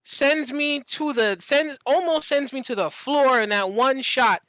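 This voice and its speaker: A man's voice: extremely high pitch, measured pace, bright, forceful tone, no room sound. A man chatting casually as a podcast host, telling stories in an emphatic, profane way and repeating phrases for effect. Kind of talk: casual chat, animated storytelling.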